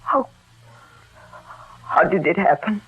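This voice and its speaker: An actress playing an earnest, loyal young daughter in a wartime radio drama, speaking in formal, careful phrasing. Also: female voice, fairly low pitch, lively intonation, slow pace, breathy edge, full character voice. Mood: grief-stricken. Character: grieving, loyal young daughter named Mia in war drama. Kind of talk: old radio drama acting. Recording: tinny, band-limited old radio recording.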